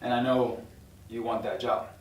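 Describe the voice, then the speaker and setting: A man playing a measured, conciliatory businessman in his fifties at a drama table read. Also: mid-range voice, fairly even intonation, natural voice. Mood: cordial. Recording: echoey room, distant mic, faint noise.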